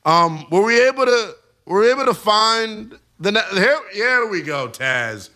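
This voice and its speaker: A man's voice: high voice, normal speed, sharp tone. A man hosting a sports call-in radio show with playful, theatrical, teasing banter. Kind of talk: animated sports talk radio.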